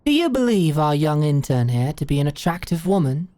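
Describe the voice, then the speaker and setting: A woman's voice: low voice, very animated, brisk, warm tone, dry recording. A woman playing a blunt, shrewd older British executive in an audio roleplay, talking in a brash, slangy, commanding way. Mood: commanding, provocative. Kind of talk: scripted audio roleplay monologue.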